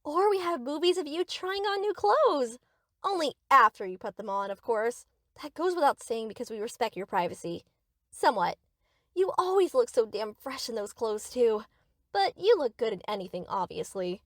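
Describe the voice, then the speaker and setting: A woman's voice: on the high side, sing-song, moderate pace, no echo, studio quality. A woman playing a young yandere best friend in a scripted roleplay, doting on the listener with cheerful, possessive obsession. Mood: gushing, proud.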